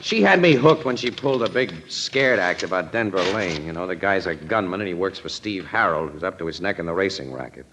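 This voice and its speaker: A man's voice: low-pitched, lively intonation, a little fast, hardly any pauses, background noise. An actor playing a wry, put-upon newspaper photographer with slangy tough-guy talk in a vintage radio drama. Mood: wry, rueful. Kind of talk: old-time radio drama acting.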